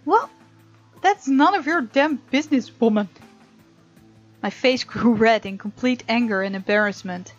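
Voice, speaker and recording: A woman gamer reading visual novel narration aloud in an expressive, informal way, with casual commentary of her own. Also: a little high, unhurried, long pauses. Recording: muffled mic, game music behind. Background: game music.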